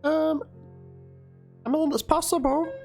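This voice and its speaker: Squeaky, very high-pitched evasive streamer, halting and noncommittal, music underneath. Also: steady tone, vocal fry, darkish tone, exaggerated voice. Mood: evasive, hesitant. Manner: halting, noncommittal, full of ums. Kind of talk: dramatic reading of chat messages.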